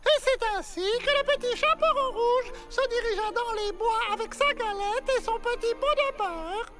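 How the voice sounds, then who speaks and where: A performer voicing a dim, cheerful, childlike male outlaw in an animated series, naive and eager. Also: extremely high pitch, normal speed. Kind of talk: French animated series dub. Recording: loud music and crowd behind.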